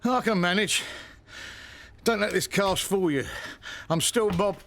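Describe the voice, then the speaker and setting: A man's voice: high voice, unhurried, creaky, a bit bright, a little hiss or hum, full character voice. A man playing a proud, stubborn, middle-aged pub landlord and dad in a radio soap. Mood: stubborn bravado.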